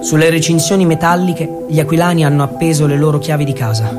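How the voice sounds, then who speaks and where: High-voiced theatre storyteller, a man. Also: steady tone, fast talker, over music. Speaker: actor and storyteller. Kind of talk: scripted theatrical narration, dramatic monologue.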